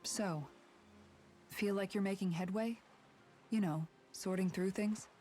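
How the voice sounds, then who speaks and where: An actress playing a frank, fiercely supportive young fantasy fighter in a video game, earnest and firm. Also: female voice, medium pitch, slow, warm tone, slight background noise, lightly acted. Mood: concerned. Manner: earnest, firm, plain-spoken. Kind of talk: dramatic video game voice acting.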